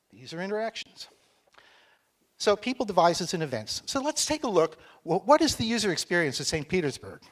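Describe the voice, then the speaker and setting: A middle-aged American man from Texas, giving a joking, chatty conference talk on stage and speaking slowly for a foreign audience. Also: a little high. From Texas, United States. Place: conference hall.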